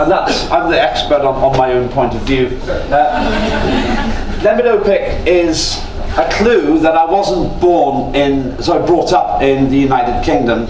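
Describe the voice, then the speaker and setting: A man raised in Northern Ireland whose first language is Estonian, giving a joking, self-deprecating conference talk and chatting with the audience. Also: a little high. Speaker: guest speaker. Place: large conference room. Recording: echoey room, mic at a distance, noisy.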